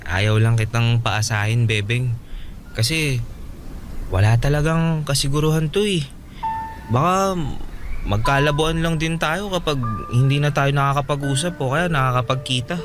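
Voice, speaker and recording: A man's voice: medium pitch, moderate pace, music in the background, natural voice. A man playing a timid, apologetic young fairground worker in a radio drama, speaking with a Filipino accent. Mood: fearful, reluctant. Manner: hesitant, apologetic.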